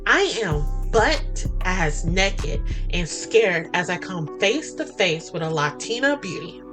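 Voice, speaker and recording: A woman narrating a first-person romance story as podcast host, in a flirty, expressive, dramatic style. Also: fairly low pitch, slow, over music.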